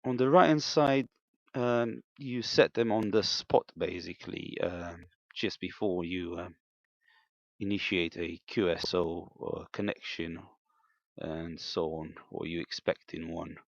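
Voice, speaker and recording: A man giving an unscripted, step-by-step technical demonstration in a hesitant, halting way, in a slightly muffled room recording. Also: low voice, fairly even intonation, creaky, dry recording. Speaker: amateur radio operator demonstrating equipment.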